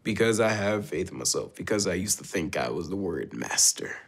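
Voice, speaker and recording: A man's voice: deep voice, expressive, brisk, very noisy. A young man, a former student, reflecting sincerely in an interview. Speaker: alumnus. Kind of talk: personal interview.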